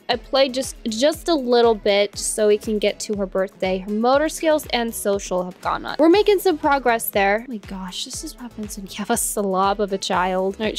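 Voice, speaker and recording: A young woman hosts her gameplay channel in a bubbly, chatty way, talking straight to the characters on screen. Her voice sits a little high and sounds nasal and a bit rough from a cold. Soft background music plays under her talk. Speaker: gaming channel host. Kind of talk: casual gameplay commentary.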